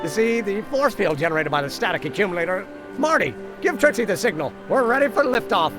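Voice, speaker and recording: Young inventor, very high voice, swooping intonation, theatrical, indignant, stubborn, game character. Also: male voice, expressive, quick pace, over music. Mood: excited. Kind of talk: video game voice acting.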